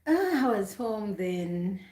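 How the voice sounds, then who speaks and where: A woman's voice: mid-range voice, very animated, slow, darkish tone. Chatty woman streamer from Uganda, roomy echo. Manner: chatty, repeats herself, greets viewers by name. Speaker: live stream host. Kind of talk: casual live stream chat.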